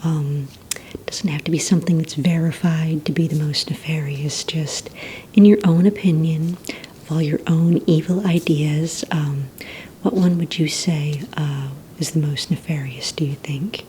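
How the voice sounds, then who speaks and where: A woman whispering breathily in a soft-spoken ASMR roleplay as a polite, encouraging receptionist, asking questions in a gentle, hesitant, unhurried way. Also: deep voice, steady tone, slight background noise. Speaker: ASMR roleplay performer as a villain recruitment receptionist.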